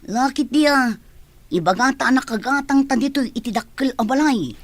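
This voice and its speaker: An insistent, scheming woman voiced by an actress in a radio drama. Her voice sits high as she presses her point. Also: moderate pace, no room sound. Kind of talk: Ilocano radio drama acting.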